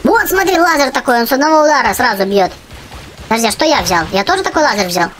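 A youthful-sounding gaming host giving excited, chatty gameplay commentary, often exclaiming and repeating phrases. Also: sits high, fast talker, slight background noise. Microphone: voice-over on game footage.

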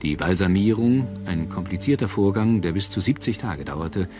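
A man narrates a documentary in a low-pitched voice. His scripted delivery is measured and formal, and music plays clearly behind him. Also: animated. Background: background music.

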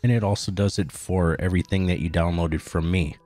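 Male tutorial narrator, very low and mellow, plain instructional delivery. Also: steady tone, brisk, soft background music. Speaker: tutorial host. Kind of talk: step-by-step software tutorial narration.

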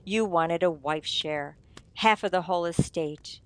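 Radio mystery sleuth played by a woman: smug, mocking, lower-pitched, very unhurried. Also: close to an everyday voice. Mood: accusing, taunting. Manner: theatrical, drawling, mocking. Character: sly, gloating amateur sleuth taunting a poisoner.

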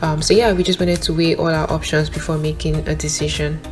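Chatty woman, lowish voice. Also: brisk. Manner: relaxed, chatty, frequent fillers. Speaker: vlogger. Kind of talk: casual vlog chat to camera. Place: small indoor room at home. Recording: roomy echo with music underneath.

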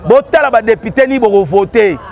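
A man's voice: sits high, animated, a little fast, a little mellow. A man, commenting emphatically on a street talk show, repeating his points, recorded outdoors on a lapel mic by a busy market street. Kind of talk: animated street debate in Lingala and French. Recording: street crowd and traffic behind.

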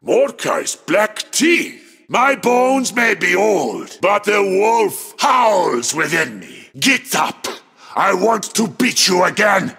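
A proud, scrappy old warrior in long reverb. The game voice is raspy and forceful, taking its time over each combative line. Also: male voice, high voice, big pitch swings, slow pace, heavily acted. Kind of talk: game voice lines, dramatic acting.

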